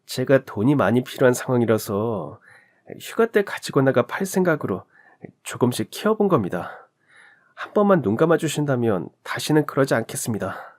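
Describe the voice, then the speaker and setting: A man's voice: medium pitch, very animated, moderate pace, natural voice. A man voicing a stingy young male army lieutenant who answers meekly and apologetically. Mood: sheepish.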